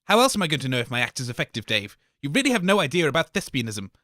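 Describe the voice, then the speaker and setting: A man's voice: mid-range voice, very animated, racing, pushed and bright, dry recording, studio quality. A man co-hosting a scripted comedy radio show with a pompous, theatrical manner.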